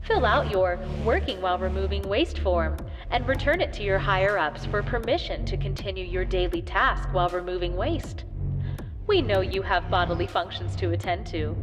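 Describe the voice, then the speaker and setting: A woman's voice: mid-range voice, animated, moderate pace, natural voice. A woman voicing a female corporate announcement that reads rules in a cheerful, bureaucratic tone. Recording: long reverb over loud music.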